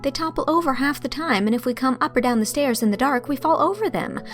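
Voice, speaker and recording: A woman's voice: sits high, animated, fast, faint music behind, pitched above the performer's own voice. A woman voicing a spirited, dreamy young college student in an audio drama, speaking expressively and earnestly. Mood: exasperated, wry.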